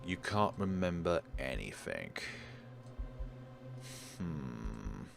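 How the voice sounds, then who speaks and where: A man's voice: extremely low pitch, very animated, extremely slow, vocal fry. A man doing let's play commentary, reading game narration aloud theatrically with wry asides. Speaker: game streamer. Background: game music.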